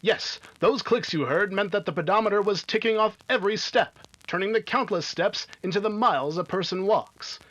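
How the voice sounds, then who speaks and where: Male narrator, old-time radio serial announcing, scripted delivery, high-pitched voice. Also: normal speed, hardly any pauses, a bit bright, rough recording.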